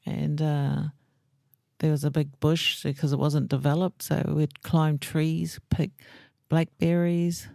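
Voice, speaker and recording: A woman's voice: low voice, little pitch movement, vocal fry, dark tone, no room sound, studio quality. A middle-aged woman from New Zealand with a New Zealand accent, fondly reminiscing about her childhood as a guest on her son's podcast. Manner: unhurried, reminiscing, fond. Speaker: guest, host's mother. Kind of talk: casual interview.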